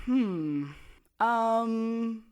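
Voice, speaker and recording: Very slow, taunting villain. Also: female voice, fairly high pitch, lively intonation, darkish tone, clean tone. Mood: cruelly amused. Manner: drawling, mocking. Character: taunting, sadistic villain toying with a captive. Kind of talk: audio drama acting.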